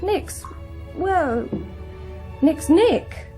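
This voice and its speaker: An actress playing a breezy, defiant young British daughter in a radio drama, flippant, evasive and offhand. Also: female voice, very high-pitched, animated, extremely slow, warm tone, soft background music, full character voice. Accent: British English. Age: young adult.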